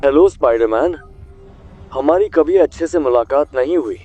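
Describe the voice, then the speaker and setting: A man playing a calm, menacing middle-aged scientist villain in a dubbed video game cutscene, speaking in a measured way. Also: on the high side. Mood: smug calm.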